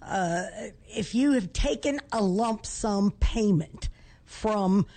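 A woman co-hosting a radio talk show with a rough, husky voice, chatty and quick to chime in. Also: mid-range voice. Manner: chatty, lively interjections. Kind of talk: casual talk radio banter.

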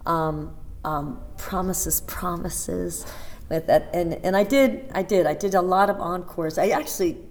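A woman in her fifties, a dancer, chatting in an interview and telling animated stories with many restarts and asides. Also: lowish voice, measured pace. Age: 50s. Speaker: interview guest, Broadway dancer. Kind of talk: casual interview chat.

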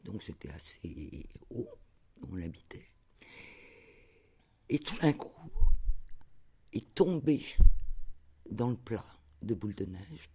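A man's voice: medium pitch, warm tone, slightly rough. A man recounting a childhood memory in a personal, spoken storytelling style.

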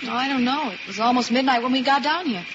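A woman's voice: high-pitched, fast, natural voice. An actress playing an earnest, girlish young woman in an old-time radio drama. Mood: worried. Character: anxious but cheeky, earnest young woman. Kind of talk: 1950s radio drama acting. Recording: noisy, muffled old radio recording.

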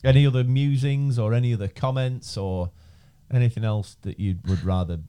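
A man's voice: medium pitch, normal speed, dark tone, clear, resonant tone. A man, hosting a podcast in a studio in a joking, rambling way that repeats phrases. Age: 30s. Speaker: podcast host. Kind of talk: casual chat.

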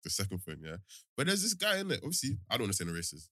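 A young man, co-hosting a podcast in fast, slangy casual banter and laughing often. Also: fairly low pitch, huge pitch swings, sharp tone, no room sound, studio quality. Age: young adult. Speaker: podcast co-host.

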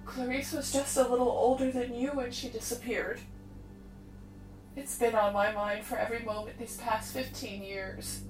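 A woman's voice: fairly high pitch, little pitch movement, normal speed, lightly acted. A woman playing a grieving middle-aged mother in an audio drama. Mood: shaken, grateful. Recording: roomy echo, slightly distant mic, faint music.

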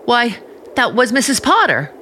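An actress playing an earnest, suspicious young niece in old-time radio drama, speaking in a guarded, halting, pointed way. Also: female voice, fairly high pitch, very animated, noisy background. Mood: firm, warning.

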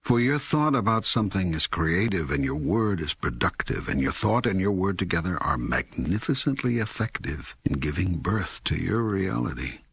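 An actor reading God in an audiobook dialogue as a calm, authoritative teacher, with measured, solemn delivery and deliberate emphasis. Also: male voice, low-pitched, gravelly, slightly rough, no room sound, full character voice. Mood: solemn, instructive. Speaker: audiobook co-narrator.

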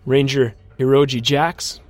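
A man playing a mech pilot and sole survivor, narrating his account in a measured first-person audio drama style. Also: mid-range voice, flat delivery, a little mellow, dry recording, close to an everyday voice. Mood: grim, reflective.

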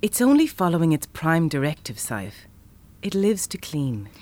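A woman playing a playful, flirty and ambitious chocolatier in an audio drama, teasing and expressive. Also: low-pitched, moderate pace. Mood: earnest.

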